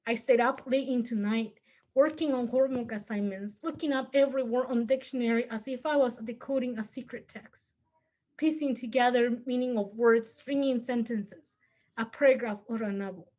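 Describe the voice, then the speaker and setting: Teen girl student, heavy Spanish accent, earnest memorized speech delivery, a little higher voice. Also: moderate pace, a little mellow, rough recording. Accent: Spanish-accented English. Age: teens. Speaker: student speaker.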